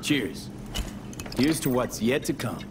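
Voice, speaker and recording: A man's voice: mid-range voice, expressive, slow, far from the mic, noisy background. An actor playing a laid-back, wry, streetwise young male mercenary with casual, clipped phrasing in a video game drama. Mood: easygoing.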